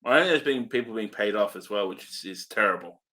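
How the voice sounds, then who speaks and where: A man's voice: fairly low pitch, lively intonation, fairly quick, sharp tone. A man co-hosting a movie review show, giving his opinions in casual conversation.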